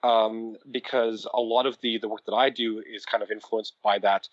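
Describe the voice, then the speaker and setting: A man's voice: fairly low pitch, flat delivery, normal speed, no room sound, dull audio. A man speaking as a podcast guest about producing audio dramas, thoughtful and explanatory, with fillers as he thinks. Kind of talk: conversational podcast interview.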